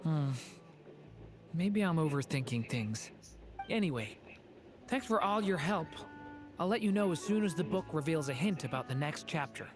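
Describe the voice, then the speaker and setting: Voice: mid-range voice, lively intonation, moderate pace, pauses often, music in the background, close to an everyday voice. An actor voicing a pensive, polite young dragon boy in a video game, hesitant and thoughtful.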